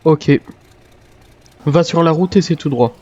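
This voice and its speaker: Guy roleplaying a soldier in a zombie game, soft mellow tone, kinda hesitant, muffled mic. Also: on the high side, a little slow, no room sound. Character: military survivor in a zombie apocalypse. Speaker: video game role-player. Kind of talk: improvised in-character game chat.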